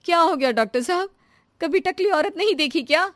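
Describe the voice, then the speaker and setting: A woman voicing a fretful, sharp-tongued middle-aged housewife in an animated story, complaining dramatically. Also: very high voice, a little fast, dry recording, pitched above the performer's own voice. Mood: indignant.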